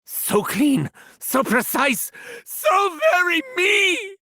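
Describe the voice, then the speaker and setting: A manic, sadistic mad doctor with a thick German accent for a video game. His voice sits high and swings wildly, with a gravelly, sharp edge. He savors each word slowly, gloating and bursting into shouted cackles, all captured dry and close in the studio. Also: big pitch swings, no echo, heavily acted. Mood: gleeful. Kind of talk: video game character barks.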